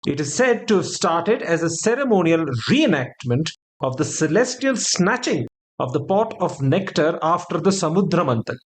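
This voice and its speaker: A man from Kerala, India, hosting a trivia podcast and reading out quiz questions in a measured way. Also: on the high side, big pitch swings. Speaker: podcast host.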